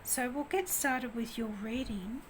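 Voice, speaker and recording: Slow, soft-spoken woman reading tarot. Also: a little high, a little hiss or hum. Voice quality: quiet voice. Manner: gentle, soft, slow and hesitant. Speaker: tarot reader.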